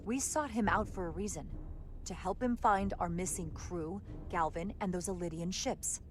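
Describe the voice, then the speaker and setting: A woman's voice: lowish voice, normal speed, slight background noise, natural voice. A woman playing a tactful starship first officer in a video game, speaking in an earnest, diplomatic way. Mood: earnest, persuasive.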